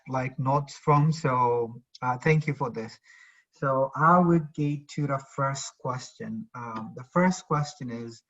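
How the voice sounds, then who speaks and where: A man hosts an interview over dull, lo-fi online audio. His pitch sits in the middle range for a man. He speaks semi-formally but hesitantly, breaking his sentences up with fillers. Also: fairly bright tone. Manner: halting, hesitant delivery with frequent fillers. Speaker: interview host.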